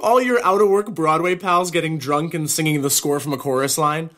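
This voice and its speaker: An actor playing a loving, joking young groom in a naturalistic audio drama. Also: male voice, fairly high pitch, brisk, a bit bright, close to an everyday voice.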